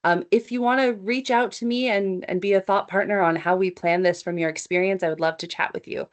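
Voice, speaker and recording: A woman's voice: mid-range voice, steady tone, fairly quick. A woman, presenting at an online meeting in a chatty, upbeat, inviting way over a computer mic. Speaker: event organizer presenting. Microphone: computer mic on video call.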